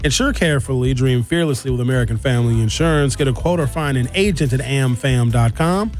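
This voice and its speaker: A man's voice: mid-range voice, fairly quick, faint music behind. A man hosting a talk radio show, giving opinionated commentary and reading aloud in an emphatic, conversational, blunt manner.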